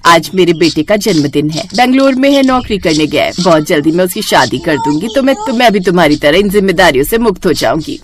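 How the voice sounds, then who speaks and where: A woman's voice: lowish voice, lively intonation, quick pace, hardly any pauses, background noise, natural voice. A woman voicing a homely, gossipy middle-aged mother in a children's story. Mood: chatty, content. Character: chatty middle-aged mother, fond of her neighbours.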